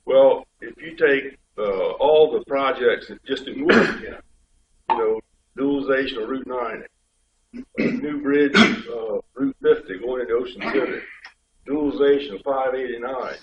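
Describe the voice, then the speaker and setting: A man with a head cold, a state highway official explaining things step by step to a public board meeting. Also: normal speed, a little hiss or hum. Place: community board meeting room. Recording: dull, echoey room pickup from far off.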